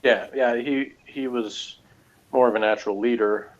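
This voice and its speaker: A man chatting casually as a reviewer, talking in a rambling, halting way. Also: medium pitch, fairly even intonation. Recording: dull lo-fi audio.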